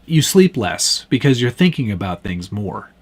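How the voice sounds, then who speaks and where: A man's voice: lowish voice, big pitch swings, normal speed. A man hosting a casual live stream, teasing his guest and reading viewer comments aloud.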